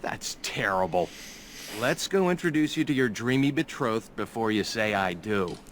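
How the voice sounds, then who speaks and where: A man's voice: on the low side, animated, normal speed, a bit bright, slight background noise. An actor playing a young male elf groom in a fantasy game, wry and good-humoured. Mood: wry teasing. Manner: wry, teasing.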